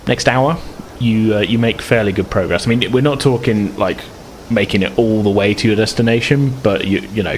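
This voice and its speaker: A man's voice: lowish voice, moderate pace, few pauses, a little hiss or hum, low-quality recording. A man casually narrating a tabletop roleplaying game as game master, in a conversational, hedging way.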